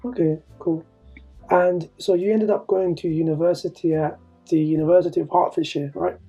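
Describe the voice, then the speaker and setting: A man hosting a podcast interview, asking questions in a relaxed, encouraging way. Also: a little high, moderate pace, husky, lo-fi sound, soft background music. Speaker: podcast host. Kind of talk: casual interview.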